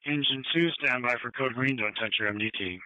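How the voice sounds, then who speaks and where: A man's voice: medium pitch, fairly quick, pushed and bright, no echo. A man dispatching fire engines in clipped phrases over radio. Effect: fire radio transmission. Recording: dull band-limited scanner radio audio.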